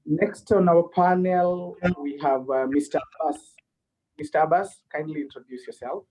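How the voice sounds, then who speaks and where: Guy hosting an online panel, kinda high mellow voice, lots of uhs, faint hiss. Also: steady tone, moderate pace, rough recording. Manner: polite, hesitant with fillers. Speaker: host.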